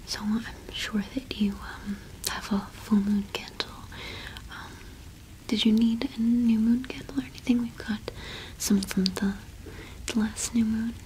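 A young woman playing a friendly, gentle cottage witch shopkeeper in a whispered ASMR roleplay, unhurried and attentive, close to the microphone. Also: a little high, fairly even intonation, slight background noise, natural voice, poor audio. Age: young adult.

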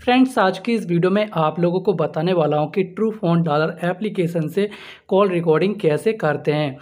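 A man's voice: sits high, moderate pace, a little mellow. Man giving a phone tutorial. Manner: repetitive, addressing viewers as friends. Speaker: host of a tech tutorial channel. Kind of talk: step-by-step phone app tutorial.

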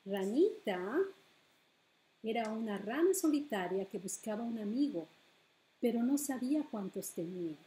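A middle-aged woman hosting a bilingual storytime as a children's librarian, reading picture books aloud with deliberate phrasing for small children. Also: on the high side, expressive, dark tone. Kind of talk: bilingual picture-book read-aloud for preschoolers. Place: living room at home, indoors.

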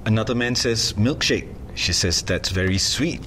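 A man trading jokes at a studio table, teasing and lively. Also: fairly low pitch, normal speed, a little hiss or hum. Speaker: game contestant. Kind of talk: joke-telling game banter.

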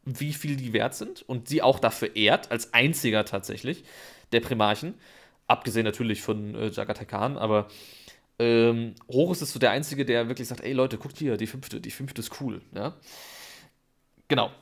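A man's voice: mid-range voice, moderate pace. A man co-hosting a podcast, explaining in a chatty way with many fillers, still slightly sick with a cold. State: has a cold, coughing. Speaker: podcast co-host.

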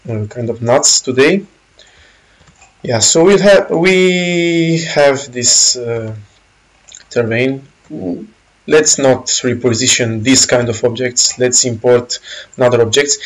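A man with a Romanian accent casually walking through a game engine's features, pausing often with fillers and correcting himself. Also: medium pitch, rough recording. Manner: halting, many fillers and self-corrections. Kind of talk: casual software walkthrough.

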